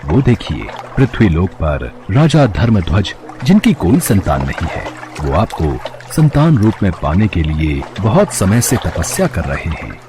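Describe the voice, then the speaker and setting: A man voicing a gentle, reassuring god. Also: sits low, big pitch swings, unhurried. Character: calm, reassuring preserver god, male. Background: music.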